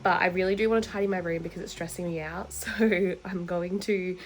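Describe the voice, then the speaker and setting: A woman's voice: on the low side, steady tone, a little fast. A woman chatting informally to camera in a personal vlog, rambling and confiding in the listener. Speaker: vlogger. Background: background music.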